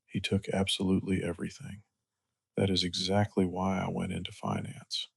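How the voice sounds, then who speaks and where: A man acting a chivalrous romance-drama hero with a very gravelly, husky low voice. He speaks evenly and earnestly, reassuring and measured, in a protective way. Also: flat delivery, dry recording, full character voice. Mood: grave, wounded. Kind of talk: romance audio drama dialogue.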